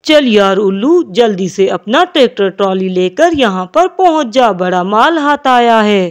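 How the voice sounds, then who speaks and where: A woman's voice: mid-range voice, animated, measured pace, lightly acted. A woman voicing a sly male crow swindler in a cartoon, wheedling and scheming.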